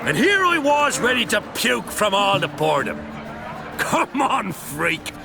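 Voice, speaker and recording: An actor playing a brash, boisterous island jarl in a video game, blunt and rough-mouthed, with a folksy dialect. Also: male voice, sits high, big pitch swings, unhurried, background noise, exaggerated voice. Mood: eager for brawl. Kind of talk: video game character acting. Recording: loud music underneath.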